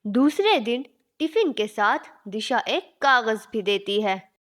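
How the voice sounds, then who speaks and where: A woman's voice: fairly high pitch, very animated, takes it slowly, heavy background noise. A woman narrating a scripted story as a storyteller.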